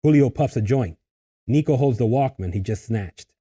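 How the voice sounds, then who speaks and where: A man's voice: mid-range voice, normal speed, dark tone. A man reading stage directions as scripted narration for a table read.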